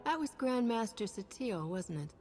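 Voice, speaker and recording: Inquisitive game heroine: mid-pitched, animated, direct, in a reverberant hall with music behind. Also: female voice, moderate pace. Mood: curious. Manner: direct, questioning. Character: self-possessed, inquisitive female sci-fi hero, direct. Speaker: player hero character. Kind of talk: video game cutscene acting.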